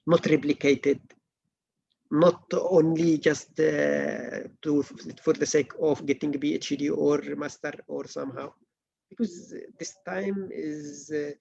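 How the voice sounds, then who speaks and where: A middle-aged man from Malaysia, giving an academic writing workshop lecture in earnest, halting English with many restarts. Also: on the high side, creaky, a little mellow, breathy edge, dull audio. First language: not English.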